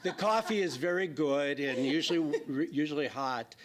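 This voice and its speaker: A man's voice: a little high, expressive, normal speed, crowd noise. A man, a state senator with a slightly scratchy voice, speaking plainly at a public forum. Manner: plainspoken, listing things with fillers.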